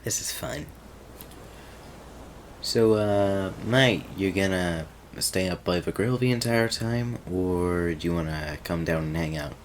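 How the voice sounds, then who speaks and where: Guy doing a flirty audio rp, super slow and laid-back, lots of chuckles, fireworks noise all around. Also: deep voice. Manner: teasing, laid-back, laughs often. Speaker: audio roleplay voice actor. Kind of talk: romantic audio roleplay, playful banter. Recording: constant fireworks ambience noise. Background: fireworks and outdoor ambience.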